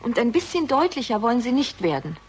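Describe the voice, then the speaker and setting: A woman's voice: a little high, very animated, brisk, slightly rough. An actress playing a forthright, sceptical young small-town woman, direct and questioning, in a 1960s German radio crime drama. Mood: puzzled, probing. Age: young adult.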